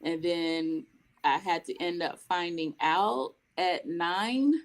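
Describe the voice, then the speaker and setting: A woman's voice: on the low side, slow. A young adult woman from Mississippi, raised in North Carolina, chatting as a guest and telling long stories with frequent laughs. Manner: chatty, laughs often, long storytelling answers. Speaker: guest, spoken word poet. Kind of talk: casual interview chat.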